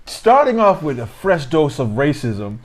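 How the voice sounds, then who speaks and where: A man's voice: on the high side, big pitch swings, unhurried, noisy background. Laid-back male podcast host. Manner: laid-back, many murmured asides. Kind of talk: casual podcast chat.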